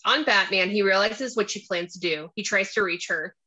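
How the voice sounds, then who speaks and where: A woman's voice: medium pitch, normal speed, sharp tone, lo-fi sound. A woman narrating a screenplay, reading out stage directions and scene descriptions.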